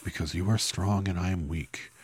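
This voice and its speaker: A man voicing an earnest, tearful little girl, raising his low, husky voice to plead. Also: steady tone, moderate pace, no echo. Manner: pleading, high-pitched and loud.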